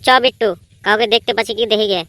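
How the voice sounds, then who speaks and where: A woman's voice: a little high, fairly even intonation, racing, fairly bright tone, no echo, close to an everyday voice. A woman voicing a cocky, scheming village schoolboy in a comedy cartoon, bossy and colloquial.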